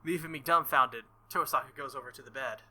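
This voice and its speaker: A man's voice: mid-range voice, a little fast, bright, forceful tone. A man reading a visual novel aloud in a playthrough, adding playful, joking comments. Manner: playful, joking asides and laughter. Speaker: let's play streamer.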